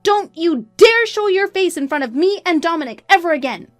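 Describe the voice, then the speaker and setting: A woman's voice: high voice, sing-song, normal speed, no room sound, clean studio sound, faint music behind, voice pushed up. A woman voicing a betrayed, defiant wife, scornful, emphatic and accusing, in a narrated story. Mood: furious. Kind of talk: acted dialogue in a narrated story.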